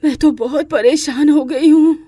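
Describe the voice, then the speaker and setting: A woman's voice: high voice, fairly even intonation, measured pace, mellow, no echo, pitched above the performer's own voice. Woman acting a motherly mother-in-law, dry sound. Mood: pained, complaining. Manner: affectionate, motherly. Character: kindly middle-aged mother-in-law, appreciative and forgiving. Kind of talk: Hindi moral-story character acting.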